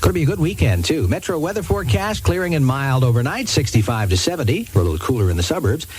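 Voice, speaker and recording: A man's voice: a little high, very animated, quick pace, a little mellow, a little hiss or hum. An American man working as a radio DJ, delivering 1980s music radio patter and ad reads on an old aircheck.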